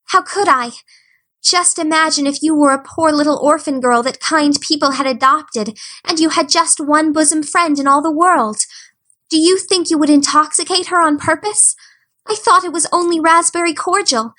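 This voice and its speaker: A woman's voice: high voice, moderate pace, pushed and bright, voice pushed up. A woman voicing a dramatic, eager orphan girl of about eleven who speaks in flowery, pleading words.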